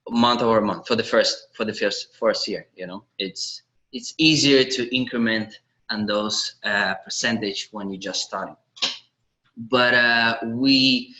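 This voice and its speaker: A man, telling his story as a podcast guest in an earnest, halting way with small grammar slips. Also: lowish voice, pushed and bright, low-quality recording. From Latvia. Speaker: podcast guest, online seller. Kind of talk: casual interview chat.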